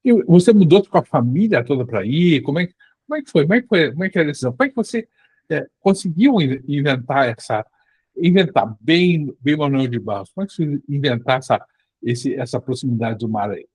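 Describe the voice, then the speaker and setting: A man's voice: medium pitch, animated, brisk, a little mellow, breathy edge, no room sound. A man with a Brazilian accent hosting a casual interview, circling into his questions with repeated starts. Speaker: interviewer, host.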